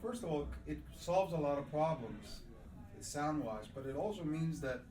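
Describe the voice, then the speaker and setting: A man's voice: a little high, expressive, moderate pace, mellow. Man presenting in an echoey room, distant. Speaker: audio distributor presenting a demo. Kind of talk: informal product presentation. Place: small demo room at an audio show. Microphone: binaural microphone at a distance.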